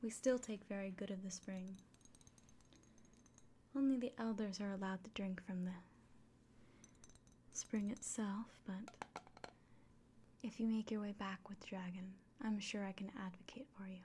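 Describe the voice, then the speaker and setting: Woman as a softly whispering, kindly elven innkeeper. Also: medium pitch, steady tone, slight background noise, lightly acted. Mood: gentle, reverent. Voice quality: soft, breathy whisper. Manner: gentle, unhurried, reassuring. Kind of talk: whispered ASMR fantasy roleplay.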